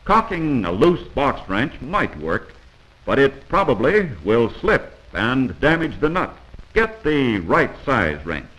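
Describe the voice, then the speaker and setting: A man's voice: mid-range voice, big pitch swings, slow pace, a bit bright, rough recording. Commanding 1940s American training film narrator, man. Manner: instructive, commanding, corrective. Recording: vintage 1940s film soundtrack.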